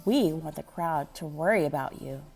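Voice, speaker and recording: A woman's voice: deep voice, animated, normal speed, a little mellow, soft background music, pitched below the performer's own voice. A woman voicing a demanding, stern male circus ringmaster who gives insistent instructions in a children's storybook.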